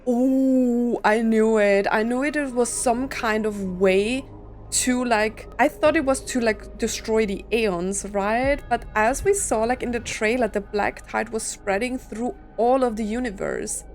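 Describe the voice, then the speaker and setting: A woman's voice: fairly high pitch, a little slow, soft background music. A woman giving casual reaction commentary as a gaming streamer, thinking aloud and asking questions.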